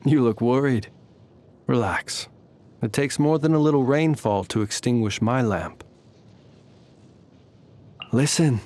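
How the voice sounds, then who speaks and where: A courtly fae lantern keeper of the night , acted by a man, speaks in a medium-pitched male voice. He is calm, wry and very formal, shaping eloquent lines with measured, theatrical care, in the manner of a video game character. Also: lightly acted. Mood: calm reassurance.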